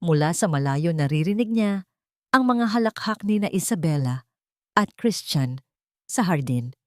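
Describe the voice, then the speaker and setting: A woman's voice: lowish voice, animated, unhurried, darkish tone, no room sound, clean studio sound. A woman reading an emotional story as a dramatic scripted narration.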